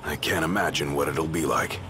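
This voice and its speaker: A man playing a gruff, blunt fighter in a video game, talking casually like a tough comrade. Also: a bass voice, a little fast, noisy background. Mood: wry, sceptical. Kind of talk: video game drama acting. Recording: loud music over the voice.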